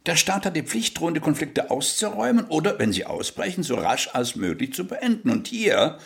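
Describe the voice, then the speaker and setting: A pompous Prussian official in a radio play. His gravelly, creaky voice swings widely in pitch as he lectures and insinuates with a bigot's sneering condescension. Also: sing-song, normal speed. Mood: pompous, lecturing. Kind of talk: German radio drama acting.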